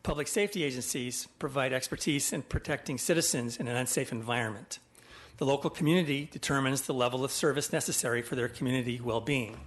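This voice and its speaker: A man delivers prepared testimony at a committee hearing. His mid-pitched voice is measured and formal, as if reading from a written statement. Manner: measured, formal, abstract wording. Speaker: witness testifying before a legislative committee.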